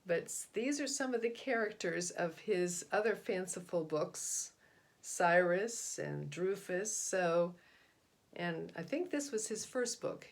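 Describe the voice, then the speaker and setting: A middle-aged woman presenting a read-aloud, talking informally and explaining things to young listeners. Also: lowish voice. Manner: explanatory, asks listeners questions. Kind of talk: informal children's book talk to young listeners.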